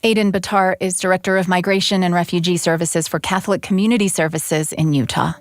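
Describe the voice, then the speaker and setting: A woman reporter giving measured, scripted radio narration in a close studio. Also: lowish voice, no room sound. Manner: measured, even, read from a script. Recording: dry, close studio sound.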